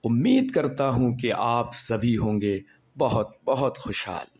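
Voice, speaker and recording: A hoarse man recording at home, giving an impassioned, preachy monologue that builds to angry rhetorical questions. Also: medium pitch, unhurried, a little mellow. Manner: preachy, rhetorical, rising to anger. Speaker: video blogger.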